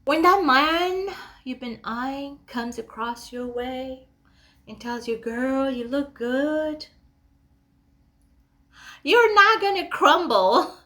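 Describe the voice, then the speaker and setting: Female motivational speaker, high register, emphatic and conversational. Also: slow pace, long pauses, bright, forceful tone. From Kenya. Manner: emphatic and conversational, asks rhetorical questions. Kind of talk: motivational talk addressed to listeners.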